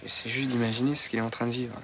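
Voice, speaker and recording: An actor playing an earnest young man in a soap opera, reasoning calmly in defence of a friend. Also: medium pitch, racing, a little hiss or hum, natural voice. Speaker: Rudy.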